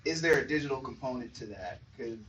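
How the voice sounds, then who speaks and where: A man with a mid-pitched voice puts a casual question from the audience. He is picked up from a distance, with a little noise in the room. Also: expressive, fast talker, a bit bright, highs cut off. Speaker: audience member asking questions.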